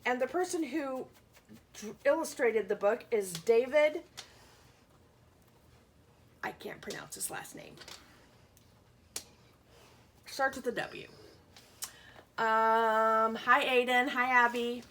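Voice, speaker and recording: A woman with a bright, slightly high voice reads to young children at an unhurried pace, as a teacher would. She adds simple asides and questions for them. There is clear background noise in the recording. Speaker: schoolteacher reading aloud to pupils. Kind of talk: picture-book read-aloud with asides to children.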